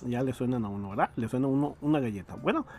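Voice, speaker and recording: Mellow male host, chatting casually. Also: medium pitch, rapid-fire, faint music behind. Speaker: host presenting old radio dramas. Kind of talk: casual chat to listeners.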